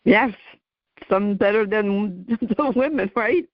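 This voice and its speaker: A woman in her sixties talks casually as a podcast host, with a mid-pitched voice and a rambling way of repeating herself. The sound is very dry and a little dull. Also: slow pace, no room sound. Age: 60s. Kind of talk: casual conversational interviewing. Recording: dry, slightly dull audio.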